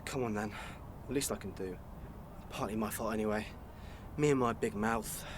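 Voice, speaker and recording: A man's voice: fairly low pitch, normal speed, close to an everyday voice. A man acting an apologetic, consoling friend in a radio soap, with faint traffic noise behind. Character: apologetic, consoling male friend offering shelter.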